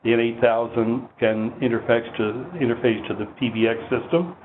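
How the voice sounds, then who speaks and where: A man presenting technical product training in a measured, explanatory, instructional way. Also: medium pitch, level tone. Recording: muffled phone-like line with heavy noise.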